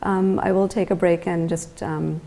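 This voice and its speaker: A young woman talks casually as an interview guest, her voice sitting low and mellow with heavy vocal fry throughout. She hesitates often, filling gaps with ums and likes and restarting her sentences. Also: flat delivery, unhurried. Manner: hesitant, many fillers and restarts. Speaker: guest artist.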